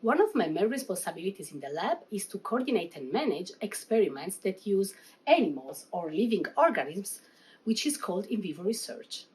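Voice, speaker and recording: A woman's voice: medium pitch, very animated, brisk, a little mellow, slightly rough, poor audio. A middle-aged woman from Italy with an Italian accent, a researcher presenting herself and her work in scripted English. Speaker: research associate.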